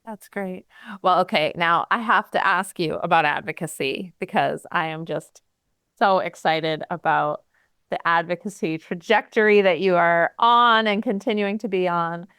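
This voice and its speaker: A woman hosting an interview, encouraging her guest with frequent warm affirmations. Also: fairly low pitch, moderate pace, clear, resonant tone, no room sound, studio quality. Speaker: podcast host.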